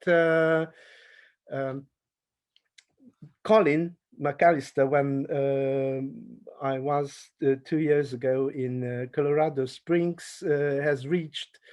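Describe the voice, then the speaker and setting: Male academic speaker, Hungarian accent, deliberate slow pace with frequent pauses and fillers. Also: on the high side. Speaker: conference speaker. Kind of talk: academic paper presentation.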